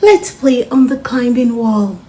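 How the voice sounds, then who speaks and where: A woman voicing a playful but guarded young girl in a storybook, expressive and earnest. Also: on the high side, unhurried, roomy sound, pitched above the performer's own voice, lightly acted. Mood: playful, encouraging. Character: young girl Maddie, playful climber, proud yet guarded.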